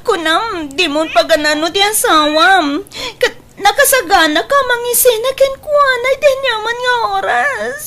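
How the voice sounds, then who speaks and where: A woman's voice: very high voice, normal speed, bright, forceful tone, full character voice. An actress with an Ilocano Filipino accent playing a distraught, tearful woman pleading with her lover in a radio drama. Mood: tearful, hurt. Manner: wailing, tearful.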